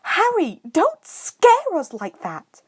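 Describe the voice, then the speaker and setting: A woman voicing a studious, excitable, bossy schoolgirl in an audiobook, with a bright and emphatic manner. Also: very high-pitched, slow, dry recording, studio quality, pitched above the performer's own voice, exaggerated voice. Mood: shrill, startled.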